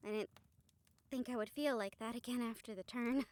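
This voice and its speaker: A woman playing a weary, apologetic female vampire hunter, speaking softly and hesitantly to a close friend in an audio roleplay. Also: on the high side, fairly even intonation, normal speed, no room sound, lightly acted. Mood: weary, musing. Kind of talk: intimate audio roleplay to the listener.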